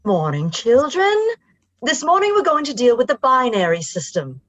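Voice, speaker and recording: Woman playing a lively-toned schoolteacher. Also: a little high, moderate pace. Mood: instructive. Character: brisk female schoolteacher addressing her class. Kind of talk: live script reading of radio drama.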